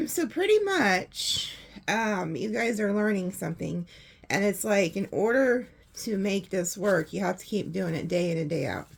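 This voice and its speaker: A woman with a hoarse voice, chatting casually through a tarot reading and laughing often. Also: medium pitch, animated, measured pace. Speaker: tarot reader.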